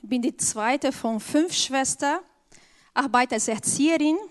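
Slow earnest woman with Brazilian accent. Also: sits high. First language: Portuguese. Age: adult with an eleven-year-old daughter. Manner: slow, earnest, careful phrasing. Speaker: church speaker. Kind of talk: personal testimony talk.

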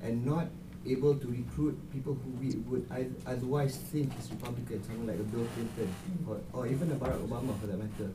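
A man's voice: mid-range voice, fairly even intonation, fast, hardly any pauses, background noise. A young man from Malaysia, a student, asking a question from the audience, hesitant with many restarts. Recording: distant audience mic in an echoey hall.

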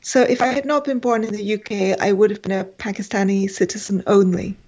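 A woman's voice: mid-range voice, steady tone, fairly quick, darkish tone. Hesitant woman with a muffled sound. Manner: hesitant, many fillers and restarts. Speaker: guest. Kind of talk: conversational interview.

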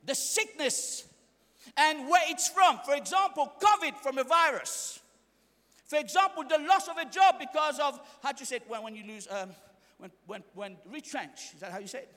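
High, fiery preacher man in an echoing hall. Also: expressive, normal speed. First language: not English. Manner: fiery, call-and-response, often shouting. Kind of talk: sermon. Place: church hall. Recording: echoey church hall reverb.

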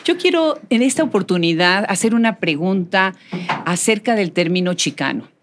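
A woman's voice: mid-range voice, expressive, normal speed, slight background noise. A woman hosting a live interview in an effusive, welcoming, conversational way. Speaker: podcast host. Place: book fair in Mexico City.

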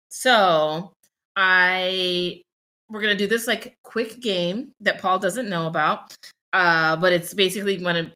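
A woman's voice: lowish voice, bright, forceful tone. A young adult woman co-hosting a casual podcast, talking candidly and reflectively with many fillers and restarts. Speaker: podcast co-host.

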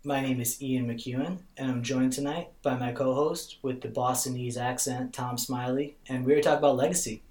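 A man's voice: medium pitch, steady tone, moderate pace, hardly any pauses, darkish tone. A man hosting a podcast, talking in a casual, slangy, laid-back way. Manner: laid-back, slangy, calls people bro. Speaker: podcast host. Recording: roomy echo.

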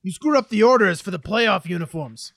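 A man's voice: sits high, animated, brisk, vocal fry, a bit bright, heavily acted. A man playing a big, sarcastic sitcom teammate in an amateur table read. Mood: annoyed.

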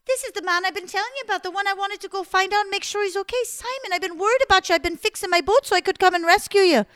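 A woman voicing a bold young red-haired heroine who talks in a breathless, pleading, shrill rush. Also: very fast, a bit bright, no echo. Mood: frantic.

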